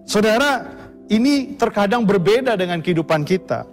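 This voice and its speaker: A man's voice: sits high, lively intonation, moderate pace, soft background music. A pastor preaching a sermon to his congregation, addressing them again and again. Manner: preaching to the congregation, often calls them brothers and sisters.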